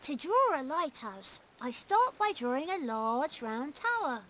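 A woman voicing a calm, helpful young male rabbit in a children's cartoon, who patiently explains how to draw step by step. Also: high-pitched, measured pace, a little hiss or hum, exaggerated voice, poor audio.

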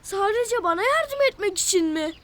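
A woman voicing a small, kind-hearted, eager young cartoon animal in an expressive, childlike way for a children's show. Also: extremely high pitch, moderate pace, slight background noise. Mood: earnest. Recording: music behind the voice. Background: cartoon music.

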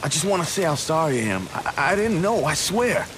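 An actor playing a talkative, nervous camp mechanic in a video game cutscene, rambling and stammering when cornered. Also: male voice, a little high, big pitch swings, a little fast. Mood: apologetic. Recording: clear rain-like noise behind.

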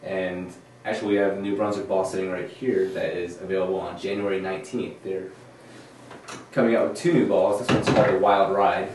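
A man's voice: deep voice, fairly even intonation, a little fast, background noise. A man in his thirties co-hosting a bowling gear show, steadily running through new product releases and agreeing with his co-hosts, recorded from a far camera. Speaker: co-host. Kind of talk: casual product rundown. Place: studio room with a bowling-lane backdrop. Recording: echoey room from a distant mic.

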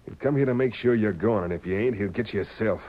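An actor playing a sly, excitable gunman henchman with an American Western drawl and a folksy, blustering way of talking, in a 1930s radio western. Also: fairly low pitch, fast, lightly acted. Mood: placating, urgent. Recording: muffled old 1930s radio broadcast.

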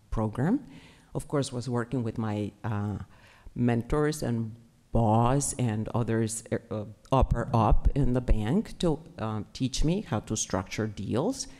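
A middle-aged woman from Mexico with a Mexican Spanish accent, a native Spanish speaker, speaking animatedly at a panel table mic in a conference room. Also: very deep voice. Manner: animated, gestures while talking, fillers. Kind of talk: panel talk about her career. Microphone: table mic on stand.

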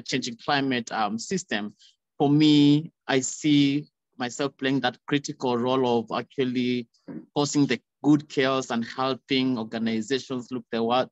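A young man giving earnest, impassioned remarks as a panel speaker on a video call, talking into a phone. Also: medium pitch. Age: young adult. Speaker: youth activist, panel speaker. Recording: roomy, dull video-call audio.

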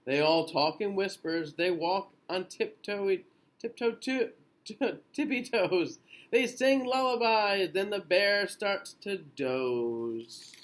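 A man in his thirties reading a children's picture book aloud in an expressive, sing-song way with drawn-out phrases. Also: high voice. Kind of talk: children's storybook read-aloud. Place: small indoor room.

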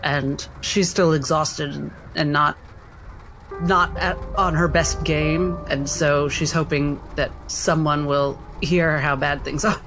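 Woman rpg player, talks slow and hesitant, says what her character does, music behind. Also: deep voice, steady tone. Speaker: player in a tabletop roleplaying game. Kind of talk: third-person description of a character's actions.

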